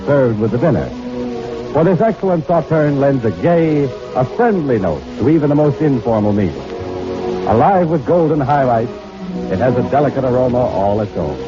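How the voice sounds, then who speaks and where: A man with a medium-pitched voice announces in formal 1940s radio style. His scripted delivery is polished. Music plays behind him on a muffled vintage recording.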